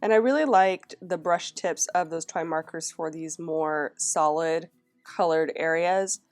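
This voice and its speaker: A woman's voice: sits low, measured pace, darkish tone, faint music behind. A woman narrating a casual flip-through of her planner, her throat scratchy from a cold, chatting along with fillers and restarts. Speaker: planner vlogger.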